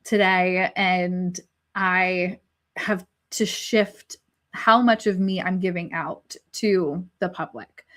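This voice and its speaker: A woman's voice: on the low side, fairly even intonation, slow pace, a bit bright. A woman hosting a live panel discussion, speaking reflectively with frequent restarts, who is five months pregnant. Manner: reflective, searching, many restarts. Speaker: host.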